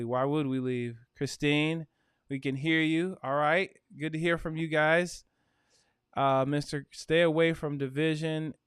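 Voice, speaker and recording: A man talks through a casual realtor livestream in an upbeat, chatty way, with lots of "uh" and "you know" along the way. His voice is smooth and resonant. It sits dry and close on the mic, with no room sound. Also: on the high side, no room sound. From Michigan. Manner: chatty, upbeat, with frequent fillers.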